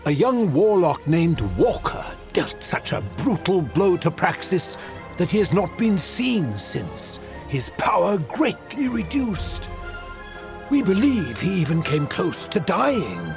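An actor playing an ancient, grave warlock mentor in an audio drama, telling dark history in a portentous, deliberate way. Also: male voice, high-pitched, sing-song, over music, heavily acted. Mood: urgent, hopeful.

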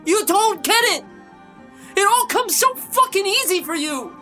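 A woman's voice: very high voice, animated, unhurried, pushed and bright, soft background music, heavily acted. A woman playing a stubborn, resentful young male college rower in an audio drama. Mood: bitter outburst. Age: young adult.

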